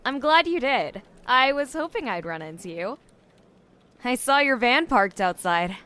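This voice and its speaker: Woman acting as wry young investigator lady, kinda high voice, casual dry delivery, audio drama. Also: big pitch swings, unhurried, sharp tone, full character voice. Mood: friendly.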